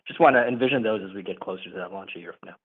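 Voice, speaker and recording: A man's voice: lowish voice, racing, slightly rough. A male financial analyst asking questions on a conference call in an unscripted, conversational way. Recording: thin phone line.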